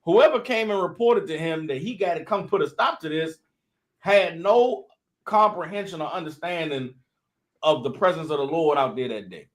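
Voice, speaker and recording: A man's voice: fairly high pitch, expressive, moderate pace. A man giving loose, impassioned religious commentary, full of "you know what I'm saying" as his intensity builds. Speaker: member of the group adding commentary.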